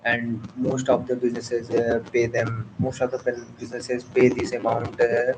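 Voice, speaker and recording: Hesitant Indian man with a flat voice. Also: mid-range voice, noisy background. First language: Hindi. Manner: halting, many pauses and fillers, restarts. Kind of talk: casual spoken monologue.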